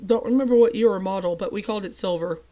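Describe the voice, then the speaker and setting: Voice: mid-range voice, quick pace. A young adult host telling a personal story in a chatty, self-deprecating way, voice still a little off from a cold. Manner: chatty and self-deprecating, with lively emphatic bursts. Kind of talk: storytime narration.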